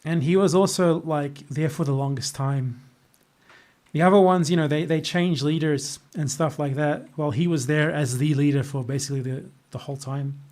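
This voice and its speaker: The fairly high-pitched Australian guy on a late livestream, fielding chat questions with blunt, sardonic opinions. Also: steady tone, moderate pace, a little mellow. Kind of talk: casual off-the-cuff political chat.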